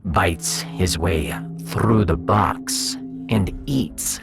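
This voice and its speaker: A man voicing a pompous genius cat in a science fiction audiodrama, deliberate and superior, savoring every pause. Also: sits low, creaky, music in the background, full character voice. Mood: smug lecturing. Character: suave, superior male genius cat, menacingly clever.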